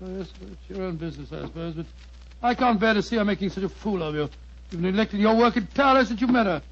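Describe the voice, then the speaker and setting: Loyal older British gentleman, 1940s radio drama. High voice, stammering bluster, lo-fi recording. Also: lively intonation, quick pace. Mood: reproachful. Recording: dull lo-fi old radio recording.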